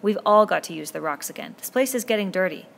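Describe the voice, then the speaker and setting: A woman's voice: mid-range voice, expressive, quick pace, vocal fry, slight background noise. A woman reading a novel aloud, voicing an earnest, commanding boy chief who pleads and insists as he lays down rules. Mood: urgent.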